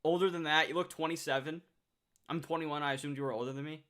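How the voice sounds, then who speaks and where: A nineteen-year-old man chatting casually on a livestream, reading out viewer comments, excitable and swearing freely. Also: fairly high pitch, fast.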